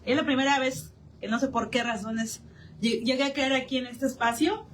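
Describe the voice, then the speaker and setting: A woman's voice: high voice, normal speed. A woman with a Mexican accent giving a spontaneous personal account as a participant in a community discussion. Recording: echoey room, distant mic, faint noise.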